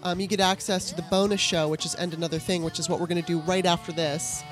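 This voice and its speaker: A woman's voice: lowish voice, steady tone, fast talker, soft background music. A woman hosting a podcast in an easygoing, chatty way, laughing often. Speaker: podcast host. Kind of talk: casual chat.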